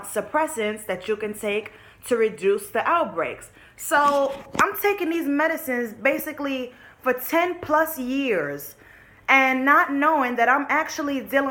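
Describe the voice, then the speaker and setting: A young woman with a slightly raised pitch talks to her viewers in a frank, unfiltered vlog. She swears casually, addresses them as y'all, and speaks. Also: sharp tone. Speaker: vlogger. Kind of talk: candid storytelling to camera.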